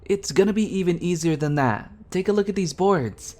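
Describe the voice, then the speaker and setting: A woman playing an excitable, daring young adventurer in an amateur audio drama. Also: on the low side, moderate pace, lightly acted. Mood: confident. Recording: clear background noise, ambience.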